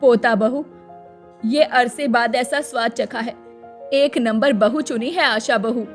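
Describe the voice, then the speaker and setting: A woman voicing an elderly, bossy, sharp-tongued grandmother-in-law with a commanding, theatrical delivery. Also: fairly high pitch, measured pace, soft background music, voice pushed down, lightly acted. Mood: pleased.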